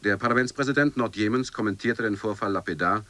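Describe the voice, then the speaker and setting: A man narrating a scripted radio piece in formal, measured declamation, like a chronicle or news report. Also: on the low side, sharp tone, no echo.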